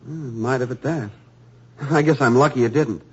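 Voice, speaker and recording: An eager young author with a husky, breathy voice that stumbles and repeats words in his earnestness. He sounds humble and grateful. The recording is dull and lo-fi, like an old radio show. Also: medium pitch, brisk, darkish tone. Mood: earnest. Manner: earnest, stammering with nervous eagerness. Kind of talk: 1950s radio drama acting.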